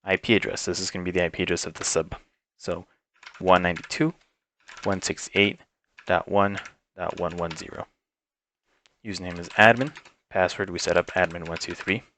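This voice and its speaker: A man giving an informal, unscripted step-by-step software walkthrough as a tech instructor, explaining each setting as he goes. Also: low-pitched, steady tone, moderate pace, pauses often, a little hiss or hum.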